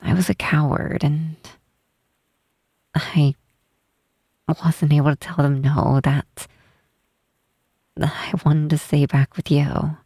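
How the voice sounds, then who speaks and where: Woman playing a slow, husky, shy half-troll cleric. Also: low voice, little pitch movement, no room sound, full character voice. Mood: guilty, regretful. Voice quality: soft, husky, breathy. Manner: hesitant, halting, apologetic, with sighs. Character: tall half-troll woman cleric, shy, guilt-ridden former lover. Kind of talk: ASMR roleplay, intimate scripted monologue.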